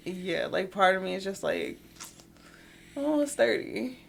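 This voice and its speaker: A woman's voice: mid-range voice, very animated, a little slow, creaky. A woman around thirty co-hosting a podcast, talking in a reflective, easygoing way and laughing along often. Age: 30s. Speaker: podcast co-host. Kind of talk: casual chat.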